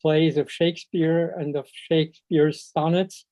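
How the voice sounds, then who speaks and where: An older man, a native Swiss German speaker with a Swiss German accent, giving an informal spoken tribute over a video call, halting and often searching for words. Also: a little high, little pitch movement, warm tone. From Switzerland. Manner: halting, searching for words, frequent long hesitations. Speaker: speaker at a memorial seminar. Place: video call, seated indoors. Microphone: video call microphone. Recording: muffled, echo-free video call audio.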